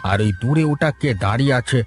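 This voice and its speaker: A man's voice: medium pitch, brisk, close to an everyday voice. A man voicing a kindly, chatty older village father-in-law in a cartoon story, with a Bengali accent. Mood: homely, caring. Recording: music behind the voice.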